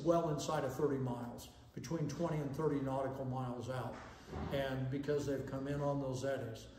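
An older man explains fishing tactics in a folksy, instructive manner. His voice sounds mellow. The recording is roomy, with a long reverb tail from the indoor space. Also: a little high. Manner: folksy, explanatory, checks in with "okay?". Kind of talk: instructional talk to camera. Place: indoor room with fireplace and banner. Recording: echoey room, long reverb.

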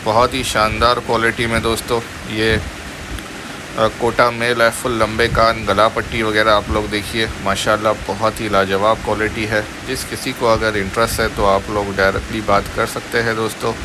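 A man's voice: fairly low pitch, little pitch movement, normal speed, noisy background. A man with an Indian accent giving a casual promotional voice-over as a video host.

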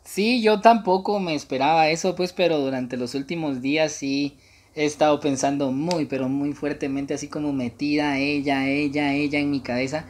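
A man, speaking haltingly and coyly on a casual prank phone call. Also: a little high, steady tone. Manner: hesitant, halting, coy. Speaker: prank caller and channel host. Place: small room indoors.

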